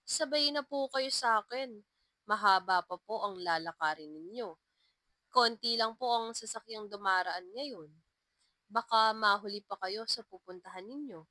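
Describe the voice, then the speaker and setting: Woman voicing a courteous, bright-toned male stranger. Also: mid-range voice, measured pace, no room sound. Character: friendly, courteous male teacher on a motorbike.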